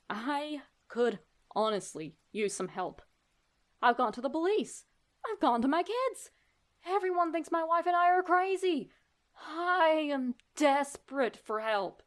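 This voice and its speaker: A woman with a fairly high voice reads a horror story in the first person. Her pace is very slow, with deliberate pauses. The delivery is dramatic and expressive, built for suspense.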